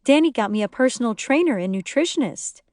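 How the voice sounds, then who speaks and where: A woman narrator performs a young mother who is strict and easily outraged. Her voice rises high and snaps with exasperation as she scolds, in the manner of audiobook dialogue. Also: lively intonation, fairly quick, darkish tone, dry recording, studio quality, natural voice. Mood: curt, defensive.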